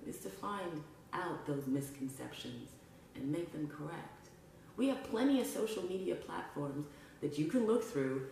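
A woman's voice: sits low, gravelly, a little mellow, a little hiss or hum. A woman from Canada hosting a podcast, speaking in an earnest, emphatic, preacher-like way as she delivers a motivational spiritual monologue. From Canada, with family from Jamaica. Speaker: podcast host. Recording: roomy echo, distant mic, music behind. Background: music.

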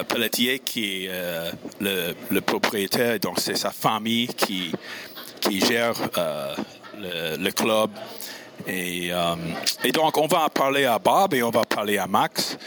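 A man from the United States with an American accent, a native English speaker hosting casually, halting and repeating words as he searches for phrasing. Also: lowish voice, lively intonation, bright, forceful tone, slight background noise. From United States, Missouri. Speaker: show host and interviewer. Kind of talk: casual on-location introduction and interview.

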